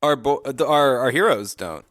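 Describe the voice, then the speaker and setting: A man's voice: medium pitch, expressive, moderate pace, a bit bright. A man co-hosting a podcast in casual chat, slangy and irreverent with some swearing. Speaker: podcast co-host.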